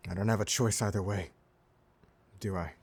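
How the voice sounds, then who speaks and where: Broken pirate overlord, gravelly and anguished. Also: male voice, lowish voice, steady tone, moderate pace, darkish tone. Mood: fearful, resigned. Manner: stammering, anguished pleading. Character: defeated blind pirate overlord, desperate, broken, grieving. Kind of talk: dramatic audio roleplay acting.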